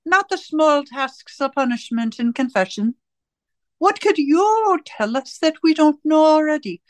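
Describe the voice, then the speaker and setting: A woman playing a cold, domineering interrogator in a radio drama, speaking in deliberate, commanding, rhetorical questions and pronouncements. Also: high voice, expressive, no echo. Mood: controlled, imperious. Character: cold, domineering party interrogator and torturer, righteous and controlling. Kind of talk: theatrical radio drama acting.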